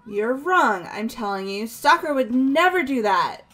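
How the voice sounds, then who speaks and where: A woman's voice: on the high side, big pitch swings, normal speed, fairly bright tone, soft background music. A woman voicing a loyal, emotional teenage girl in a visual novel game, pleading and stammering. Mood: defensive, pleading.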